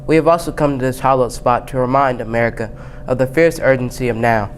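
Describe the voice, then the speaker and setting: A man's voice: mid-range voice, quick pace, slight background noise, poor audio. A young male student reading a formal historic speech aloud from a text. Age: young teen, 7th grade student. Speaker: student reader.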